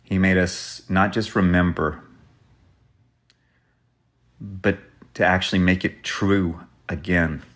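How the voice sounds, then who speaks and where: A man giving an earnest, measured spoken tribute. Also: low-pitched, fairly even intonation, a bit bright.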